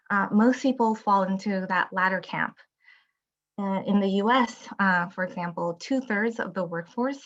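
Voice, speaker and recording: A middle-aged woman from Taiwan, a company founder giving an earnest, measured presentation with frequent ums over a video call. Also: on the low side, lo-fi sound. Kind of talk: prepared presentation.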